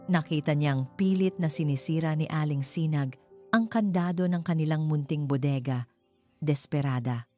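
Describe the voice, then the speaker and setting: A woman's voice: sits low, warm tone. A woman reading a scripted story in dramatic narration. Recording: music behind the voice. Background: background music.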